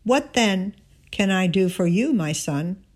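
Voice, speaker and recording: Woman reading as a solemn, slow old father. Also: medium pitch, lively intonation, voice pushed up. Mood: solemn, resigned.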